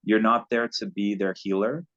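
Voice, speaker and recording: Low-voiced male coach, earnest and meandering, heard over a muffled call line. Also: fairly even intonation, moderate pace, a bit bright. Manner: earnest, loose and rambling, laughs at own slips. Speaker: guest relationship coach. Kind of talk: casual spiritual advice talk. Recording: dull lo-fi audio.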